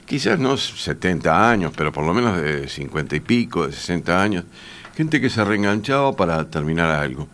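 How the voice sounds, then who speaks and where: A man's voice: deep voice, sing-song, moderate pace, fairly bright tone, slight background noise. A Uruguayan man, a university teacher, speaking in a panel discussion with frequent hesitations and restarts. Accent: Uruguayan Spanish. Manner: hesitant, many fillers and restarts.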